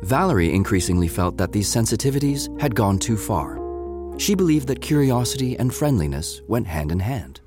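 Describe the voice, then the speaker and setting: A man narrates an audio drama in a fairly low voice over prominent music. His delivery is measured and literary, like a storybook read for adults. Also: very animated.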